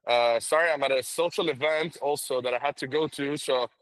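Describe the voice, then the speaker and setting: A man's voice: medium pitch, a little fast, no room sound, low-quality recording. A man joining a call from a social event with his camera off, chatty, with lots of stammered restarts. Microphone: phone on a call, camera off.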